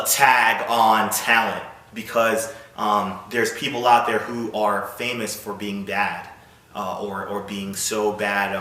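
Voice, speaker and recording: A man's voice: fairly low pitch, a bit bright, a little hiss or hum. Man teaching informally in an echoey room. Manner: explanatory, blunt, often checks in with "all right?". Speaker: music industry coach, video host. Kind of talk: informal instructional talk at a board. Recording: roomy echo with clear music underneath. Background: music.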